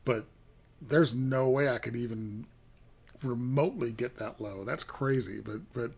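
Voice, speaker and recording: A man's voice: unhurried, vocal fry, darkish tone, husky. A man hosting a music reaction video, talking casually in a rambling, hesitant way with lots of fillers and restarts. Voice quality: fairly low voice.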